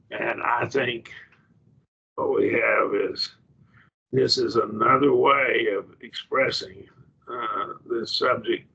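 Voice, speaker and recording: A man with an Oklahoma accent teaching a Greek grammar class over a video call, explaining in halting restarts and checking that students follow. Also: mid-range voice, pushed and bright, husky. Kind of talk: online class lecture. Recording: dull lo-fi video call audio.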